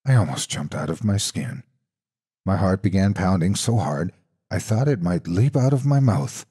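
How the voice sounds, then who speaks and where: A man narrating a scary story in a deliberate, suspenseful, dramatic storytelling style. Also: low-pitched, lively intonation, warm tone, breathy edge.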